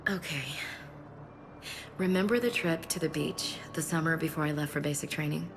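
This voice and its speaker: A woman's voice: low voice, moderate pace, music in the background. An actress playing a tender, stoic woman soldier in a video game, earnest and restrained. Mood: proud, tender. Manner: subdued, earnest, emotionally restrained. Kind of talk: video game cutscene acting.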